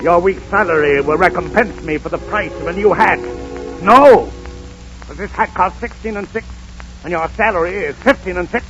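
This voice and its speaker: A man's voice: high voice, normal speed, fairly bright tone, highs cut off, soft background music. A man acting a bitter, miserly old employer with a sneering, curt manner in 1930s radio style. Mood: cold, stern.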